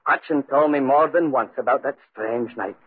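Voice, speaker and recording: An actor playing a plain-spoken, cautious Scottish tenant who narrates an eerie tale in a 1940s radio drama, husky-voiced. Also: male voice, mid-range voice, moderate pace, no room sound, natural voice. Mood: storytelling. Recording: tinny, dry 1940s radio recording.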